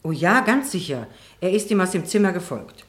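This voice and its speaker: An actress playing a defensive, prim neighbour on the witness stand in a radio drama, guarded, indignant and reluctant. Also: female voice, fairly low pitch, sing-song, normal speed, a bit bright. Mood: certain. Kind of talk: German radio courtroom drama acting.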